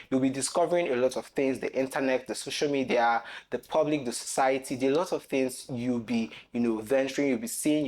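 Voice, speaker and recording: A man's voice: mid-range voice, a little mellow. A nineteen-year-old man, talking earnestly to camera in a personal vlog. He rambles and keeps falling back on "basically" and "you know". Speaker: content creator. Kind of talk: reflective personal vlog talk.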